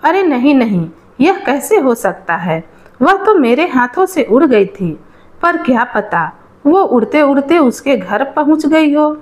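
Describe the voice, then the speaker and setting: An uneasy village wife , voiced by a woman storyteller, speaks with hesitant doubt. Her storybook lines are slow and fairly high in pitch. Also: big pitch swings. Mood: doubtful. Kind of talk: storybook dialogue.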